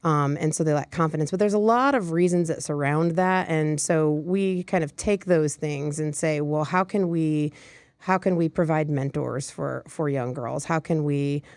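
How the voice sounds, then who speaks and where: A woman's voice: low voice, moderate pace, a little mellow, dry recording, studio quality. A woman from Michigan, an interview guest, explaining her points at length with frequent ums and you-knows. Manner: explanatory, uses fillers like um and you know. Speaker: guest, nonprofit vice president. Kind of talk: interview answers. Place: studio.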